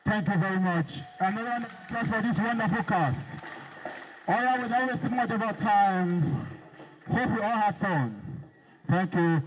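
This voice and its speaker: A man's voice: high-pitched, moderate pace, lo-fi sound. A man making stage announcements as an event MC. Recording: noisy live event.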